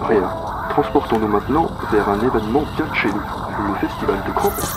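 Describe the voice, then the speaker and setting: A man's voice: fairly low pitch, fairly quick, close to an everyday voice, rough recording. A man playing a grumpy, resentful news anchor in a French comedy audio drama, curt and sulky. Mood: sullen. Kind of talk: parody news broadcast.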